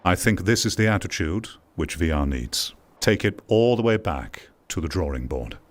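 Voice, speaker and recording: A man's voice: low-pitched, lively intonation, normal speed. A man giving opinionated commentary to viewers, emphatic and repeating words for stress. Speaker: video host, VR commentator.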